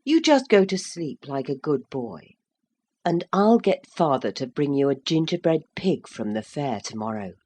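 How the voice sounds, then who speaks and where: A woman voicing a gentle mother soothing her young son in a storybook reading, speaking slowly. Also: sits low, sing-song, slightly rough, exaggerated voice. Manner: slow and soothing.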